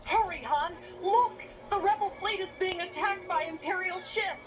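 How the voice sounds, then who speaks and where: A woman's voice: very high voice, moderate pace. A woman playing a young rebel leader in a children's read-along adventure, speaking urgently and emphatically. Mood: alarmed. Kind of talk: children's read-along audio drama acting. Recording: muffled distorted toy cassette, loud music behind.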